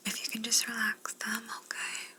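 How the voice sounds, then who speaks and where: A woman playing a curious, politely condescending alien examiner in a sci-fi ASMR roleplay, whispering softly and fussily, repeating words soothingly. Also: fairly high pitch, monotone. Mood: clinical, intrigued. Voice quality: soft, breathy whisper. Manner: slow, gently fussy, clinical, repeats words soothingly.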